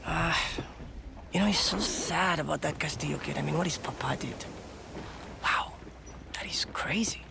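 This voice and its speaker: An actor playing a flippant, chatty, shady associate with a creaky voice in a video game. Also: male voice, a little high, normal speed, pauses often. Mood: mock sympathy. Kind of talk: video game cinematic acting. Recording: clear background noise and ambience.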